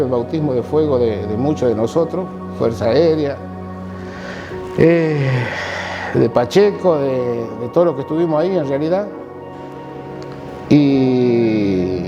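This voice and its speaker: A man in his sixties from Catamarca with an Argentine Spanish accent, giving a halting war testimony full of repeated words and restarts. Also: on the high side, highs cut off. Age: 60s. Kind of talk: recorded personal testimony.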